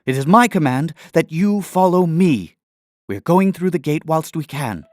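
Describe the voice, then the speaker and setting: A headstrong young princess, played by a male narrator, speaks in a fantasy audio story. The voice is pitched up and forceful, giving imperious, urgent commands. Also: animated, measured pace, dry recording, clean studio sound. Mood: imperious, firm.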